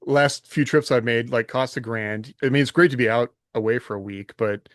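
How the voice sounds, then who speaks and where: A man co-hosting a podcast in casual conversation, halting a little as he thinks aloud. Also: mid-range voice, a bit bright, dry recording, clean studio sound. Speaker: podcast co-host. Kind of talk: casual conversational chat and questions.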